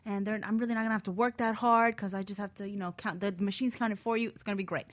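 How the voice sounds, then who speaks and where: A woman telling a personal story on a talk show, acting out her own past thoughts in a lively way. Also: medium pitch, very fast, no room sound. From Miami. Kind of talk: casual storytelling.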